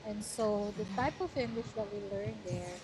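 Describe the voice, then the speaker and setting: A woman from the Philippines speaks English with a Batangas Tagalog accent, her first language being Tagalog. She talks in a chatty, explaining way in a middle register. The voice call carries a clear layer of background noise. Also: mid-range voice, moderate pace. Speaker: girlfriend on a call. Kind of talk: casual chat.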